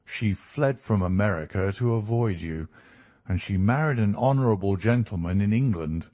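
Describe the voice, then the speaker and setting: A man voicing a composed, authoritative detective in a storybook reading, formal, measured and stern. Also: lowish voice, dark tone, no echo, lo-fi sound, close to an everyday voice.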